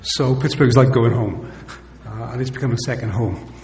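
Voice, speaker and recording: Middle-aged Welsh-accented panelist, wry, echoey hall with long reverb. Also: male voice, medium pitch, steady tone, normal speed, a little mellow. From Wales. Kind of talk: conference talk, opening remarks.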